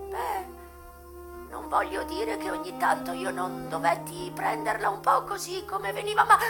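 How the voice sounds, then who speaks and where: A woman voicing a dying old ex-courtesan aunt in a radio monologue, with a clucking, tearful voice and halting, pleading delivery. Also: very high-pitched, gravelly, sharp tone, pitched above the performer's own voice, heavily acted.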